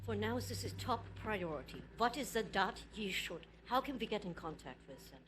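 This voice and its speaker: A woman's voice: mid-range voice, very animated, moderate pace, very noisy, natural voice. An actress playing a female resistance leader in a video game, businesslike and authoritative. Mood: brisk, commanding.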